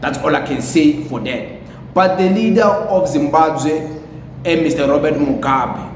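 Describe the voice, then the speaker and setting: A man with a South African accent giving casual, rambling, repetitive commentary on a clip. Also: unhurried. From Limpopo, South Africa. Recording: echoey, noisy room with rumble.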